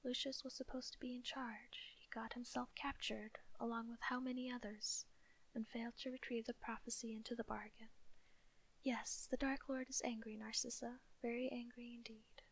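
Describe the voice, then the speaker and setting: A woman reading in a whisper as a cold, guarded male wizard who speaks in a low voice. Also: flat delivery, normal speed. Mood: cold, measured.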